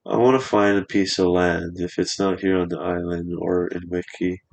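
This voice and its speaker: A man's voice: deep voice, flat delivery, moderate pace, darkish tone. A man in his twenties chatting casually on a podcast in a studio, rambling and swearing freely. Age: 20s.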